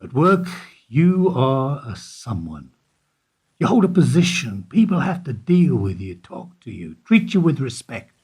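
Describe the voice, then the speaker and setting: A man acting in a radio play as an evasive older bank employee who answers in a guarded, deliberate way. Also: mid-range voice, big pitch swings, a little mellow, husky. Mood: reflective, weary. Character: evasive older male bank foyer employee under questioning.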